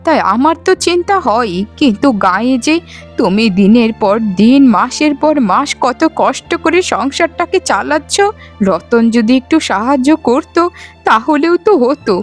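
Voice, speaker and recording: A woman's voice: on the high side, lively intonation, a little slow, soft background music. A woman voicing an affectionate, fretful older mother-in-law in a village cartoon, motherly and doubtful. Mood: worried. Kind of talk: Bengali moral-story cartoon voice acting.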